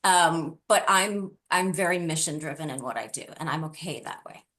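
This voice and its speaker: A woman's voice: sits low, lively intonation, moderate pace, a bit bright. A middle-aged woman answering interview questions conversationally, in an earnest and emphatic way. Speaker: interview guest, tutoring business founder.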